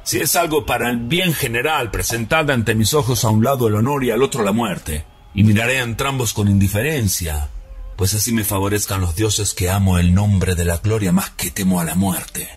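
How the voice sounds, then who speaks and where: A man's voice: on the low side, husky, soft background music. A man playing Brutus, a grave brooding Roman. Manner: grave, brooding, measured declamation. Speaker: actor performing a stage monologue. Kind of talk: theatrical radio-drama recitation.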